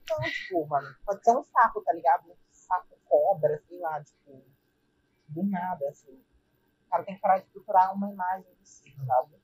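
Husky Brazilian voice in casual chat. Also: mid-range voice, moderate pace, poor audio. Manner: informal, slangy.

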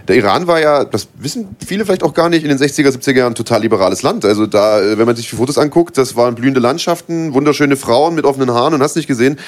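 Fast-talking wry male co-host. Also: medium pitch. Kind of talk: casual interview.

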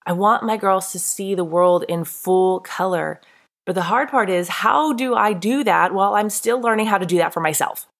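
A woman's voice: mid-range voice, moderate pace. A congested woman hosting her own podcast, talking in a candid, earnest, conversational way with a throaty, stuffed-up voice. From North Carolina. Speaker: podcast host. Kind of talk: casual solo podcast talk.

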